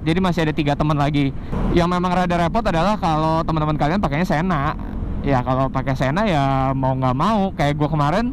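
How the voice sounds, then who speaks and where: A young man with a Jakarta accent giving a casual, unscripted gear review, informal and mixing in English phrases. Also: a little high. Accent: Jakarta Indonesian. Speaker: vlogger reviewing motorcycle gear. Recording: clear outdoor traffic and vehicle noise.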